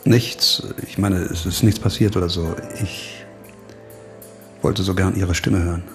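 An actor playing a dogged, laconic investigator in a German radio crime drama, speaking in a terse, understated way. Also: male voice, low-pitched, steady tone, measured pace, over music. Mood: tender, hesitant.

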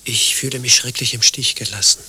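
Tormented male confessor, halting, anguished, husky, sharp-edged, radio drama. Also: medium pitch, fairly even intonation, heavily acted. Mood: gloomy, lamenting. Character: mournful, guilt-ridden man desperate to confess. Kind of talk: German radio play acting, grotesque.

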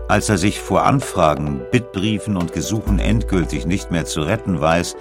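A man narrating a scripted documentary in a measured, factual way. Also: sits low, gravelly.

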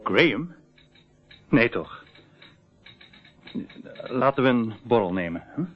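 A man's voice: mid-range voice, lively intonation, takes it slowly. Fretful male lawyer, tinny sound. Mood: troubled, fretful. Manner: anxious, uneasy. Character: worried, fretful male lawyer, anxious about his reputation. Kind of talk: Dutch radio drama acting. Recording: narrow, tinny phone-like old radio recording.

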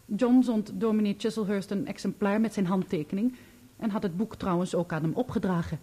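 An actress in a Dutch radio play, playing a loyal, bereaved woman who testifies in measured, articulate, formal sentences. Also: medium pitch, mellow, slightly rough.

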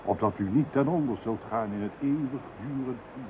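A man's voice: medium pitch, moderate pace, noisy background, lightly acted. An actor playing a devout, grieving man who speaks in solemn, preaching tones. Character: devout frightened grieving brother, middle-aged man. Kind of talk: radio play acting. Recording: tinny lo-fi old radio recording.

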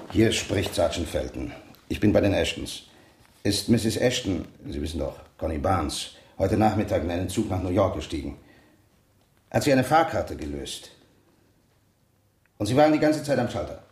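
An actor playing a courteous, helpful police sergeant in a German radio crime play, speaking in a businesslike way. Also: male voice, low-pitched, darkish tone, reverberant.

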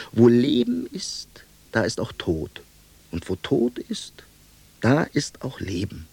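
A male gendarme of the radio play, husky and very lively in pitch. He blusters with official authority yet is deferential and given to philosophy. The sound is close and dry, the delivery theatrical. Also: no room sound, exaggerated voice. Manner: theatrical, shifting from barked orders to reverent musing. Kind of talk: German radio drama acting.

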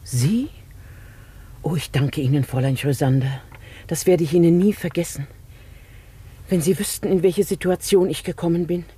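A woman's voice: fairly low pitch, moderate pace, pauses often, warm tone, close to an everyday voice. An actress playing a polite, evasive, formerly wealthy lady traveller in a German radio play. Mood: agitated, relieved.

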